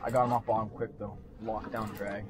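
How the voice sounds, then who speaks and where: A young man hosting a fishing vlog, chatting excitedly to viewers as he fights fish on a small boat at sea, recorded on an action camera. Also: fairly low pitch, brisk. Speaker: fishing channel host. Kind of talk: casual fishing vlog chat. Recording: wind and boat noise outdoors.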